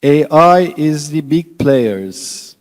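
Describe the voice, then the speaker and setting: A man's voice: on the high side, animated, very slow, long reverb tail. A man on an investor panel, explaining his fund's strategy earnestly with slightly non-native phrasing. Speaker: fund manager panelist. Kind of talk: panel discussion.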